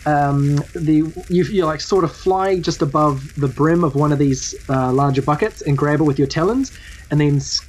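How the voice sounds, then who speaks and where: A woman with a low voice and a New Zealand accent runs a tabletop game. Her narration is casual and improvised, with halting searches for the next phrase. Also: a little mellow, a little hiss or hum, rough recording. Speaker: game master.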